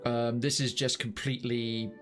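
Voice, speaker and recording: Male streamer, mid-pitched, reads game text aloud and thinks out loud, faint game music underneath. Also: flat delivery, normal speed. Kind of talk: reading game narration aloud and commenting.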